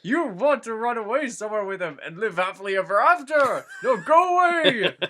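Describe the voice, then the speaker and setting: Man putting on an exasperated, high-pitched shouting old wizard. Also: sing-song, moderate pace, a little hiss or hum, full character voice. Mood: furious scolding. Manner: shouting, scolding. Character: exasperated old wizard yelling at a clingy hobbit.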